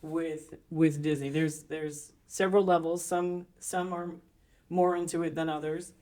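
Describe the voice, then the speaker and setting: Hoarse low-voiced woman co-host. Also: little pitch movement, unhurried, darkish tone. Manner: chatty, laughs often, repeats words. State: hoarse from losing her voice. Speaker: podcast co-host. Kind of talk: casual chat.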